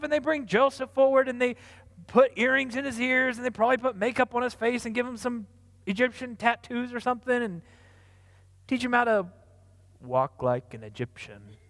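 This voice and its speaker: A man with a high voice retells a Bible story in a casual, chatty preaching style. His telling is animated, and the sound hangs in a long hall reverb. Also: moderate pace.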